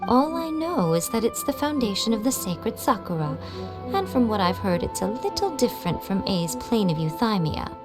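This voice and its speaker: A woman voicing an elegant, condescending shrine familiar in a video game, sly and knowing, with a measured, teasing manner. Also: medium pitch, sing-song, music in the background, lightly acted. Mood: knowing, playful. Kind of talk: video game character acting.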